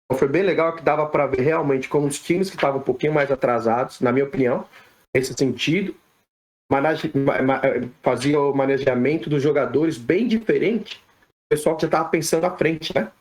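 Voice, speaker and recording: A man from Brazil, a physiotherapist guest on a podcast, answering questions in a relaxed, conversational way with frequent tag questions and restarts. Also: fairly high pitch, a little fast. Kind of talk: casual podcast interview answers.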